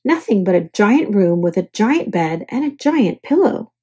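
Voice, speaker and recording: A woman's voice: mid-range voice, big pitch swings, normal speed, dark tone, breathy edge. A woman storyteller narrating a children's picture book aloud.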